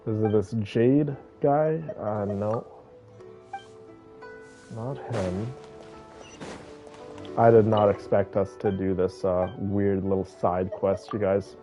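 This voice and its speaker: A man's voice: lowish voice, fairly even intonation, slow pace, long pauses, warm tone, soft background music. A man giving casual gameplay commentary, thinking aloud and talking to his viewers. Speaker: game streamer playing and commenting.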